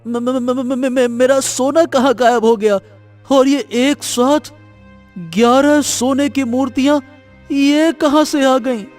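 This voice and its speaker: A man voices a boastful, greedy village fellow in a cartoon folk tale. He speaks very high and slowly, repeating and tripping over words, with faint music behind. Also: steady tone, a little mellow. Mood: shocked. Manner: stammering, repeating exclamations. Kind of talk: animated Hindi moral story voice acting.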